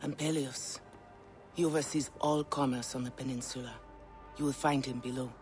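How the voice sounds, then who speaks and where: An actress playing a grave informant in a video game, briefing with a serious, deliberate and dramatic delivery. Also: female voice, a bass voice, steady tone, a little mellow, faint music behind. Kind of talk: video game character acting.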